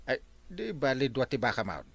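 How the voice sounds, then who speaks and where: An actor with an Ilocano accent playing a blustery but easily placated village captain in a radio comedy drama. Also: male voice, mid-range voice, very animated, moderate pace, creaky, a bit bright, husky, heavily acted. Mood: jovial.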